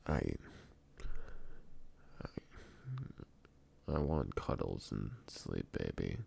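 Extremely low male voice, heavy vocal fry, drowsy tender boyfriend played by a man, halting murmur, ASMR roleplay. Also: very animated, a little mellow, a little hiss or hum, exaggerated voice. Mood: drowsy, pleading. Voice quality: gravelly, husky morning voice. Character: sleepy, affectionate boyfriend coaxing partner back to bed.

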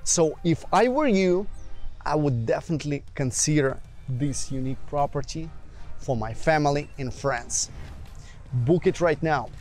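Upbeat salesy man pitching a villa. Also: expressive, measured pace, a little mellow, faint music behind. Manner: salesy, upbeat, addresses viewers directly. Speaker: villa rental promoter and channel host. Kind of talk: promotional video narration.

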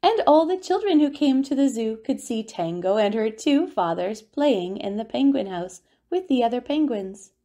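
A woman reading a children's picture book aloud as a storyteller, with expressive, deliberate delivery and drawn-out words. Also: fairly high pitch. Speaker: children's storyteller at a family centre.

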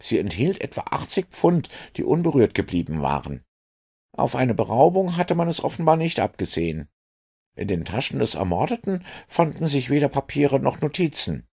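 A blunt, skeptical inspector , as a man puts him on, talks in a raised, somewhat strained voice. He lays out facts flatly and with a hint of scorn, in a storybook reading. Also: mid-range voice, normal speed, gravelly, breathy edge, pitched above the performer's own voice, exaggerated voice. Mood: reporting.

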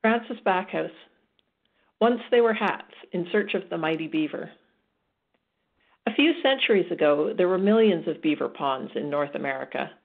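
A woman's voice: lowish voice, lively intonation, normal speed, creaky, slightly rough. A woman reading a nature book aloud. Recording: tinny phone-like sound.

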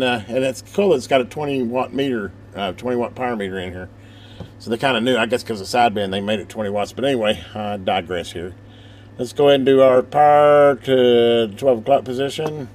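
A man's voice: mid-range voice, a little hiss or hum. A man giving a casual, rambling hands-on radio demonstration, thinking aloud in a folksy, informal way. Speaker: hobbyist presenter demonstrating a CB radio.

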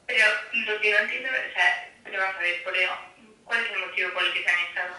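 A woman's voice: mid-range voice, fast, bright, forceful tone, recorded from a distance. A woman in a casual phone conversation, heard over a speakerphone. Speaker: client on a phone call. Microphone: phone call played into the room. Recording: echoey speakerphone picked up from afar.

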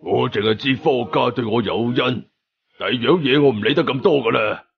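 A man voicing a defiant veteran fighter villain in his thirties from an audio story, rough and sneering, with a Hong Kong Cantonese accent. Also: medium pitch, highs cut off, faint music behind, lightly acted. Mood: taunting, defiant. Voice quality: rough, gravelly. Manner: slow, taunting, sneering.

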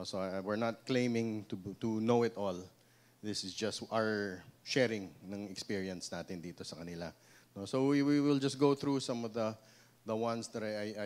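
Filipino guy in his 30s, kinda low voice, chatty seminar talk on a handheld mic, keeps saying no?. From Philippines. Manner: conversational, frequent "no?" tag questions and restarts. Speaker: seminar speaker, pig breeder. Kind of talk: seminar talk mixing English and Tagalog. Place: small seminar room with audience. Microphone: handheld microphone.

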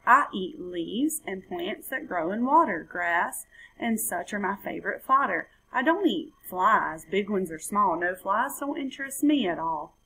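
A woman's voice: medium pitch, lively intonation, a little slow, pushed and bright, breathy edge, heavily acted, poor audio. A woman voicing a plain-spoken, unimpressed rainforest animal in a children's storybook. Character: matter-of-fact rainforest animal listing its diet.

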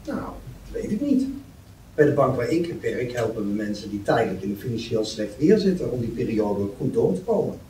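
A man's voice: medium pitch, big pitch swings, fairly quick, mellow, close to an everyday voice. A man playing a reserved, sensible young banker in a matter-of-fact manner at a script table read. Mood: defensive. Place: meeting room. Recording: roomy, distant mic, faint noise.

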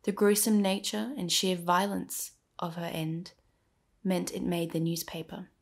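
Low-pitched woman narrating a documentary podcast. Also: flat delivery, unhurried, gravelly, husky.

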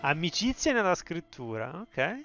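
A man's voice: on the high side, very animated, normal speed, gravelly. A young man giving casual live commentary as he streams a game. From Italy. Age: young adult. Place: indoor room, game audio behind. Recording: dull band-limited sound, game music.

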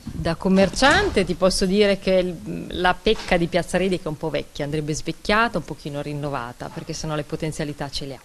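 A woman, a shopkeeper answering thoughtfully in her small shop, pausing with hums. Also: deep voice, slight background noise. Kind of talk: interview answer. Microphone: handheld interview mic.

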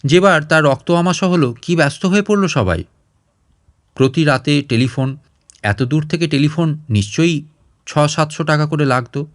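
Higher-voiced male storyteller, dry close sound. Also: normal speed, no echo. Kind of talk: literary audiobook narration.

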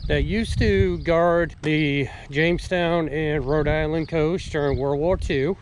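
A middle-aged man talks into a camera held at arm's length as he walks outdoors and through concrete bunkers. His delivery is slow and unhurried, and his voice sits a little high for a man. He is relaxed and plainspoken, with the odd "uh", and faint music plays underneath. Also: background noise. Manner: relaxed, plainspoken, with occasional "uh" fillers. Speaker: outdoor vlogger. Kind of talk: casual exploring narration. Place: outdoors at a seaside park and inside concrete bunkers.

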